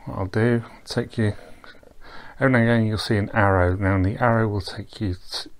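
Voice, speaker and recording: A man with a low, level voice talks a viewer through a virtual tour in a loose, unscripted way. He wanders, pauses with ums and restarts sentences as he clicks from spot to spot. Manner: relaxed, rambling, with ums and restarts. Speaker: tour guide. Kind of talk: casual voice-over walkthrough of a virtual tour. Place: recorded while wearing VR goggles, not on the boat.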